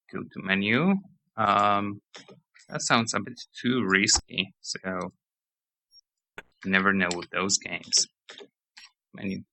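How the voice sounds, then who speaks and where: A man giving rambling let's-play commentary on a game, hoarse as he loses his voice, reading menus and game text aloud. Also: lowish voice, sharp tone, rough recording. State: hoarse, losing voice. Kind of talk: casual gameplay commentary.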